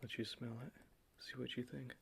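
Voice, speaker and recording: A man speaks softly into a close microphone, sliding between quiet talk and breathy whispers as he plays a salesman in an ASMR roleplay. His voice has a gravelly, rough edge. He stays on a flat, even line, pitching his wares without rising or falling much. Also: normal speed, noisy background. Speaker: ASMR creator playing a cologne salesman.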